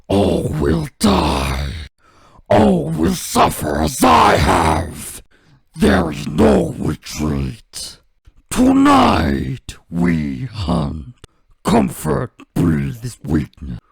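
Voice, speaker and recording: Merciless game warlord, very raspy and gravelly, very slow, solemn, menacing. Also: male voice, mid-range voice, huge pitch swings, no echo, clean studio sound, heavily acted. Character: grim, merciless male warlord, menacing and vengeful.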